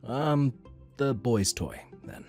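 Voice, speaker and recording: A man playing a quiet, gentle middle-aged father in a comic dub, soft-spoken and hesitant. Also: mid-range voice, animated, slow pace, faint music behind.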